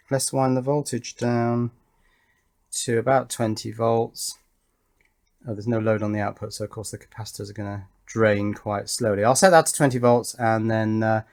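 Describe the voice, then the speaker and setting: A man in his fifties with an English accent, giving casual, rambling commentary as he works, hesitating and questioning himself as he thinks aloud. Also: mid-range voice. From England. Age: 50s. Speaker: electronics hobbyist and video maker.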